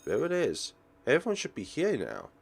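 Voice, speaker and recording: A man hosting a let's play, reading visual novel narration aloud in a theatrical, expressive way. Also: fairly high pitch, moderate pace, creaky.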